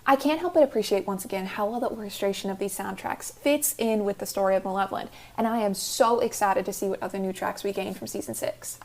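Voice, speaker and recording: A woman with a medium-pitched voice reads a prepared piece of music analysis as a podcast contributor. Her delivery is measured and articulate. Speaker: listener contributor.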